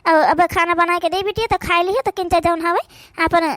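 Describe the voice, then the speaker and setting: An extremely high-pitched older village mother-in-law, acted by a woman for a cartoon, with an Awadhi accent. She is fussy and fretful, full of questions, in a dry close recording. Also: fairly even intonation, moderate pace, a bit bright, no room sound. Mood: concerned.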